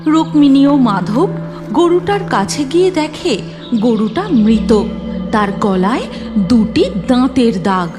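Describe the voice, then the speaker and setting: A woman's voice: on the high side, expressive, takes it slowly. A woman narrating a scripted cartoon story. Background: music.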